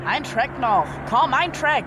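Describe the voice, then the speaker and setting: An actor playing a smart-mouthed, philosophising youngster in a radio drama, with dry, quick-witted slang. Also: high-pitched, animated. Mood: urgent. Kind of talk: naturalistic radio drama dialogue, colloquial. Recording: car noise under the voice.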